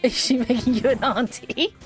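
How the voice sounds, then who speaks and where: A woman's voice: a little high, steady tone, normal speed, soft background music. A woman streaming a game, chatting casually with her viewers and laughing often, her voice worn by a slight cold. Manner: giggly, chatty, talks to chat by name. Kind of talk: casual live-stream chat.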